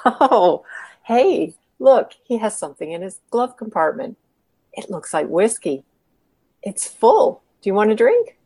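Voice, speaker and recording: A woman's voice: mid-range voice, sing-song, a little slow, mellow, husky, no room sound, exaggerated voice. A woman playing a bold, practical, scheming partner in crime in an audio drama, talking in a conversational, conspiratorial way. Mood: casual, curious. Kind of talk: amateur audio drama dialogue.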